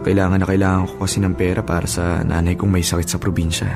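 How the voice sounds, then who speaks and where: A man's voice: deep voice, little pitch movement, fast, vocal fry. A man in his twenties playing a humble, apologetic, grateful young laborer in a radio drama. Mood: ashamed, pleading. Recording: music playing under the voice.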